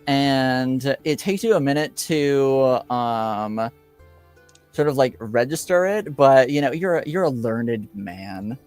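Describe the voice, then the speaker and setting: A man improvising narration as game master of a tabletop roleplaying game, halting and searching for words. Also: mid-range voice, animated, darkish tone, faint music behind.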